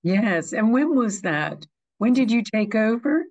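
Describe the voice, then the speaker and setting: A woman's voice: a little high, sing-song, a little slow, a little mellow. A woman hosting a podcast interview, asking brief, direct questions. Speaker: podcast host.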